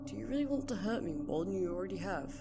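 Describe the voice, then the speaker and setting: A woman's voice: medium pitch, lively intonation, quick pace, very noisy, natural voice. A woman playing a hurt, defiant young companion in an audio drama, confronting someone with bitter, emotional accusations. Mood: cold, wounded.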